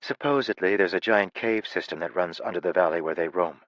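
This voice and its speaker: A man's voice: sits low, fairly quick, a bit bright, dry recording, dull audio, pitched above the performer's own voice. A man voicing a cautious, sensible young woman in an audiobook, speaking in a cautious, patient way.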